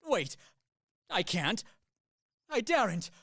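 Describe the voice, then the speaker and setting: A man's voice: sits high, sing-song, slow, sharp tone, no room sound, studio quality, heavily acted. A man acting in a radio play as a tired, practical professional soldier, apologetic and wry, sighing and hesitating. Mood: weary, agitated. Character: weary, practical professional soldier, politely self-deprecating.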